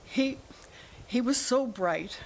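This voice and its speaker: A woman's voice: a little high, lively intonation, slow pace, a little hiss or hum, poor audio. A woman in a staged play reading, playing a middle-aged mother of grown children who is defensive, evasive and pleading. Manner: pleading, self-justifying.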